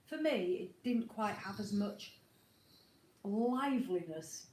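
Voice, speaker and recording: A woman with a head cold hosts a relaxed home livestream in a mid-range voice, sounding a little snotty. She rambles chattily from one greeting to the next. The sound is picked up on an iPad in a roomy, echoey room. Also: mid-range voice, slow, slight background noise. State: has a cold, a bit snotty. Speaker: co-host of a motorhome live chat. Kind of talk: casual livestream chat. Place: at home, indoors. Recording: roomy echo.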